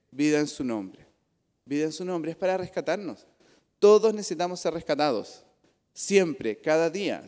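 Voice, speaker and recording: Chilean pastor preaching in a reverberant church. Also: male voice, fairly high pitch, a little mellow. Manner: earnest, conversational preaching with asides. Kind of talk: sermon. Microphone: pulpit microphone. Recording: long hall reverb in church.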